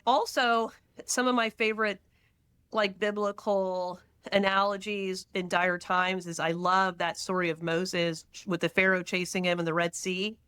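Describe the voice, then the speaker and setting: A pregnant woman from Texas, a podcast guest who talks in quick, animated bursts and tells stories with dramatic asides. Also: medium pitch, clear, resonant tone, no echo. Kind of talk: casual faith-centered conversation.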